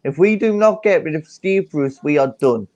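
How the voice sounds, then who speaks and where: A man's voice: a little high, animated, normal speed, a little mellow, no echo, highs cut off. A man in a casual football chat, blunt and insistent, repeating his points.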